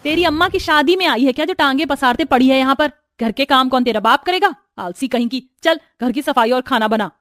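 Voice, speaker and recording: Lady doing a sharp-tongued bossy mother-in-law from a storybook, high pitched, close dry sound. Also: a little fast, few pauses, no echo. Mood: bossy, sarcastic. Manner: sarcastic, domineering, sharp-tongued. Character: scolding, bossy middle-aged mother-in-law.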